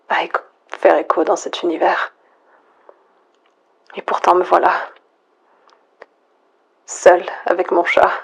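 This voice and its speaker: A woman playing a lonely, frightened last survivor, murmuring a halting, introspective audio log in a breathy, husky near-whisper. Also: fairly low pitch, exaggerated voice. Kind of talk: hushed monologue, analog horror audio log.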